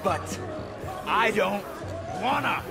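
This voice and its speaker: A man's voice: sits high, lively intonation, slow pace, over music, a crowd in the background, heavily acted. A man playing a whiny, stubborn thug in a video game, sulking like a child. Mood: whiny, pleading. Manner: whining, sulky.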